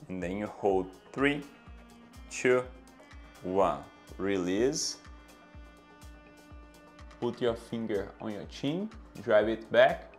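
A man's voice: lowish voice, extremely slow, pauses often, faint music behind. Brazilian-accented man coaching posture exercises. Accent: Brazilian Portuguese. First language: Portuguese. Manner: coaching, counts reps aloud, non-native grammar. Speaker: fitness trainer. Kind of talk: exercise instruction to camera. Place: bright indoor room with anatomy posters. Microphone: clip-on lapel mic.

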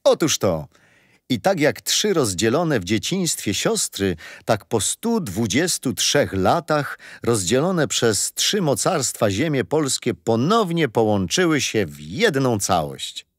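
An actor playing a patient, gentle grandfather telling children a history story in a radio play. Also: medium pitch, big pitch swings, slow, no echo, studio quality. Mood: reassuring. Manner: gentle, didactic.

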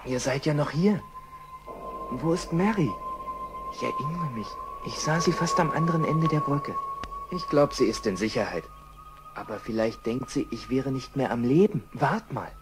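Male actor as level-headed expedition survivor, children's audio drama, very animated, earnest, music behind. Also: medium pitch, normal speed, close to an everyday voice. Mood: amazed, grateful. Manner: earnest, emphatic. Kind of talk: children's radio play acting.

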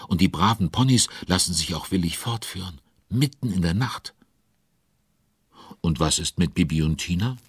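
A man narrating a children's audio drama as a chatty, involved storyteller with conspiratorial asides and a husky voice. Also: sits low, expressive, normal speed, no room sound, studio quality, heavily acted. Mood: involved, storytelling.

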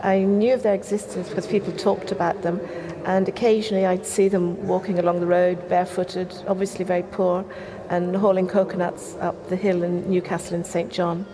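A middle-aged woman speaks in a flat, reflective interview manner, her pitch held level and a bit low. Her voice trails into a long room reverb. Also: fairly quick, crowd noise. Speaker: photographer interviewee. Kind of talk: interview recollection.

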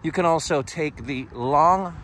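A man's voice: fairly high pitch, a little slow, a bit bright. A man narrating a walking tour in a chatty, digressive way, recorded outdoors on a camera mic while he walks. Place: outdoors on a bridge footpath by the sea. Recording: outdoor street noise. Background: traffic and wind noise.

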